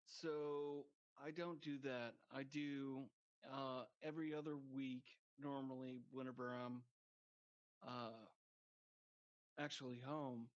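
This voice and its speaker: A man's voice: mid-range voice, level tone, slow pace. A man guesting on a podcast, joking crudely and rambling in casual chat. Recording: dull lo-fi sound.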